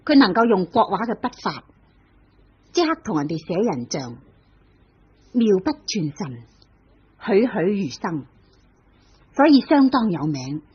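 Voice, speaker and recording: A woman's voice: mid-range voice, very animated, measured pace, pauses often, husky. A woman with a Hong Kong Cantonese accent narrating an old radio drama in a storytelling manner. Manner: storytelling, lilting delivery. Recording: dull old radio broadcast.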